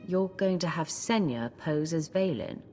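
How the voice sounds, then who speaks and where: An actress playing a composed, stoic warrior heroine in a video game, measured, dry and serious. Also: female voice, deep voice, lively intonation, a little mellow, slight background noise. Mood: questioning. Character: stoic, composed female Sith warrior hero. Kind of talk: video game cutscene acting. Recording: long reverb, music behind.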